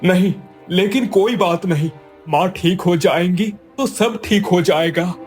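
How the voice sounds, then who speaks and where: Man playing a humble, unhurried young villager. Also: sits high, faint music behind. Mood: sad, pleading. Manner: earnest, pleading. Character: poor, humble, kind-hearted young village man, devoted son. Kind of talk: cartoon moral story dialogue.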